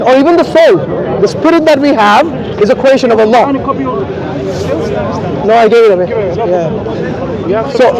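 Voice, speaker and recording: High-pitched man debating outdoors among a crowd. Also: poor audio. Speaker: religious debater arguing for Islam. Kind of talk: informal street debate. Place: outdoors at an urban public speakers' corner. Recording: outdoor crowd chatter around voice. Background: crowd chatter.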